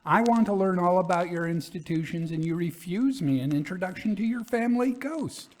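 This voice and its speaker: A man's voice: high voice, animated, a little fast, a little mellow, voice pushed up. A man voicing an eager, wheedling New York society woman in a told ghost story. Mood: pleading. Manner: wheedling, exclamatory.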